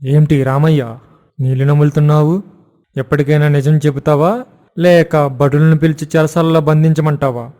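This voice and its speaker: A man playing a stern, commanding king who passes judgement in an animated moral story. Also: fairly high pitch, moderate pace, natural voice. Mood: stern, questioning.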